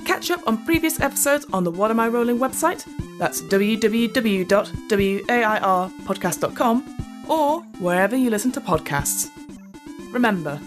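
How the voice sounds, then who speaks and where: Female promo announcer, scripted read, highly varied intonation, music underneath. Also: lively intonation, normal speed.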